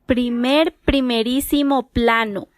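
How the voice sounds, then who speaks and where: A woman's voice: fairly high pitch, fairly even intonation, slow, dry recording. A woman presenting an audio demonstration with short announced labels and questions.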